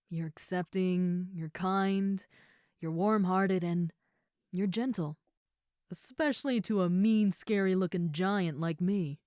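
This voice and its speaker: Woman doing sweet big ogre girl roommate, lowish voice, soft n halting, super sincere comfort roleplay, really dry close sound. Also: no room sound. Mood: fond, gentle. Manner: soft, breathy asides, halting, tender, sincere. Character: gentle, affectionate giant female ogre roommate, shyly confessing. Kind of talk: intimate comfort roleplay audio.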